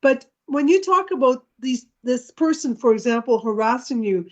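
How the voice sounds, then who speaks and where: A woman interviewing for a commission over a video call, asking polite, gently probing questions. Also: high-pitched, expressive, normal speed. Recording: slightly muffled video call audio.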